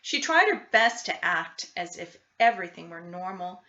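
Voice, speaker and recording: A woman reading a children's picture book aloud in an expressive, deliberate storytelling style. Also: fairly low pitch, highs cut off. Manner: animated, deliberate storytelling delivery. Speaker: storybook reader.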